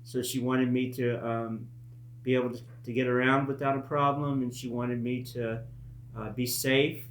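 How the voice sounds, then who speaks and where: Halting man in an echoey room. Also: mid-range voice, flat delivery. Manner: halting, reflective, earnest. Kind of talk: casual personal storytelling monologue. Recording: echoey room with faint hum.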